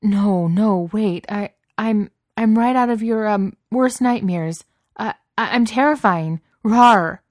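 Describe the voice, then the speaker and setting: A woman's voice: on the low side, normal speed, darkish tone, dry recording, studio quality, lightly acted. A woman voicing a huge but timid, apologetic young male beast in a story, hesitant and stammering, with teenage slang. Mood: flustered, desperate. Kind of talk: audiobook character voice.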